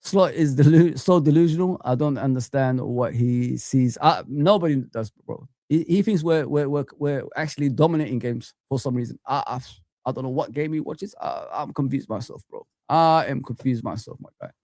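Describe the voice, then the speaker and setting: A man's voice: medium pitch, normal speed, warm tone, no echo, clean studio sound. A young man hosting a live fan chat stream, ranting heatedly and repeating himself.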